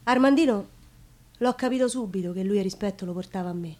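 A woman's voice: mid-range voice, fast, darkish tone, natural voice. An actress playing a proud, nostalgic prostitute with a Roman accent, confiding her story in an earthy theatrical monologue. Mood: wistful, tender. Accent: Roman Italian.

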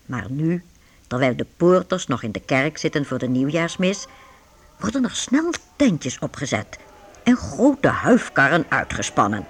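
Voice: medium pitch, a bit bright, slight background noise. A narrator reading scripted storytelling for a radio play aimed at young listeners.

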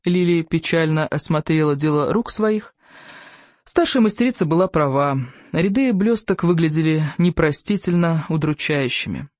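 An audiobook narrator reads literary prose from a script in a medium-pitched voice. The recording is dry and close, and dialogue is acted out within the narration. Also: expressive, moderate pace, darkish tone, dry recording.

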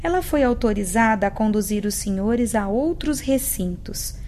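An actress playing a calm, formal female spirit who directs a women's hospital in a radio play. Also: mid-range voice, normal speed, slight background noise, lightly acted. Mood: calm welcoming.

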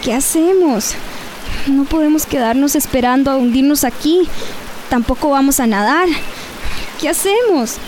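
A woman's voice: sits high, a little slow, long pauses, a little mellow, slight background noise. An actress playing an anxious, impatient woman stranded by a flood in a radio drama.

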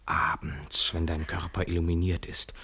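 Romantic lead, radio drama: deep, very husky, intimate and lyrical, full of longing. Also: male voice, level tone, normal speed, bright, forceful tone, heavily acted. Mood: yearning. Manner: intimate, lyrical, sometimes near whisper. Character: tender, yearning male lover, a foreigner. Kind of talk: poetic radio play acting.

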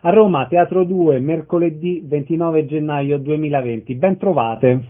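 A man's voice: on the high side, moderate pace. A man hosting radio interviews and putting questions to guests. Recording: narrow-band tinny radio sound.

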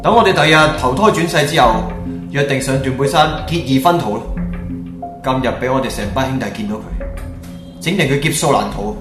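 A man's voice: mid-range voice, a little fast, close to an everyday voice. A man playing a vengeful ghost chief, a fallen rebel soldier, commanding and menacing in a radio drama. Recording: roomy echo, music underneath.

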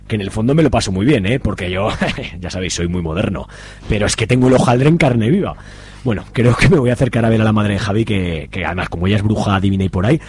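Male actor as cheerful, confiding camp lad in radio soap comedy; swooping intonation, quick, husky, Spain accent. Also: medium pitch, a little mellow. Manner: confiding, chatty. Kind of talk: amateur comic radio soap monologue.

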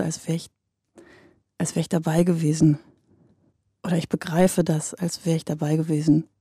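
A woman narrating a personal audio piece in an intimate, reflective, measured manner, from a script. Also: lowish voice, vocal fry, warm tone, slightly rough, no room sound, clean studio sound.

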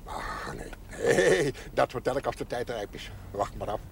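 An actor playing a kindly, slightly odd village garbage collector who chuckles often and talks in a folksy, mysterious way, from a 1970s television drama. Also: male voice, mid-range voice, animated, normal speed, vocal fry. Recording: noisy 1970s TV audio.

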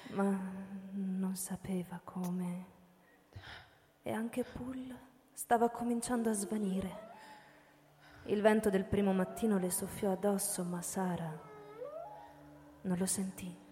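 A woman's voice: mid-range voice, steady tone, slow pace, pauses often, dark tone, slight background noise. Woman narrator in a reverberant hall. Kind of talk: dramatic literary narration.

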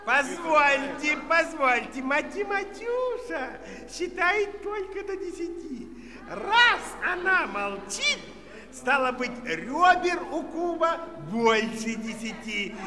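Excitable high-pitched circus trainer. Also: male voice, takes it slowly, heavily acted. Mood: pompous, correcting. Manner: theatrical, pompous, excitable. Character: indignant, fussy circus trainer with a counting dog. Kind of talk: children's audio play acting. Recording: reverberant circus hall, audience noise behind.

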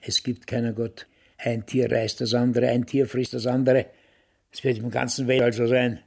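An actor playing a cynical, grumbling widower of about ninety in a radio play, with a husky, gravelly Austrian voice. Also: male voice, mid-range voice, little pitch movement, moderate pace, darkish tone. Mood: bleakly cynical. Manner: grumbling, blunt, dismissive.

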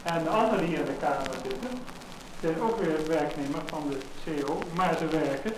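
A heavily echoing, noisy mid-century disc recording carries a man's speech to his colleagues. His voice sits a little high. He speaks informally, haltingly and with deliberation. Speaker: staff member giving a farewell speech. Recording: echoey, very noisy 1950s-60s disc recording.

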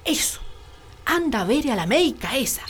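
A woman's voice: high-pitched, big pitch swings, moderate pace, a little hiss or hum. A woman playing an anxious, nagging country wife in a radio drama, fretful and urgent, with a Chilean accent. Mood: worried.

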